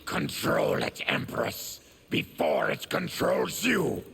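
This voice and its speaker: An actor playing a bitter, suffering mutant warrior in a video game, with a guttural, gravelly voice and solemn, deliberate, formal delivery. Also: male voice, medium pitch, huge pitch swings, fairly bright tone, full character voice. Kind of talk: dramatic video game acting.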